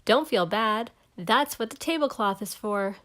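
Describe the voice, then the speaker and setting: Woman playing kindly grandmother, fairly high voice. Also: lively intonation, unhurried, fairly bright tone. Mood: reassuring. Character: gracious, forgiving grandmother hosting the family.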